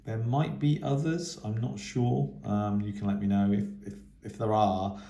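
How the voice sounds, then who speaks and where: Low-voiced man in an echoey room. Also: flat delivery, normal speed, vocal fry, warm tone. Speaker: radio hobby YouTuber. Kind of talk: casual news update to camera, unscripted. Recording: echoey, roomy sound.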